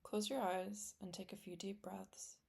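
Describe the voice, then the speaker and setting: A woman leading a guided meditation in a gentle, soothing, deliberate way, with long pauses between phrases. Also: mid-range voice, flat delivery, creaky, husky. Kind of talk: guided meditation, scripted and slow.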